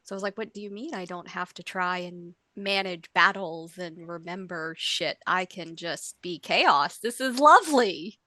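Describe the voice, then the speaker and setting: A woman co-hosting a podcast in casual chat, upbeat and quick to laugh. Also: on the low side, bright, forceful tone, no echo. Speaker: podcast co-host.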